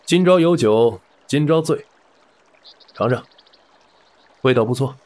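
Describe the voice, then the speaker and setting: A man's voice: mid-range voice, lively intonation, slow, darkish tone. A man playing a shrewd, worldly gambling boss in an audio drama, speaking with authority. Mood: worldly, probing.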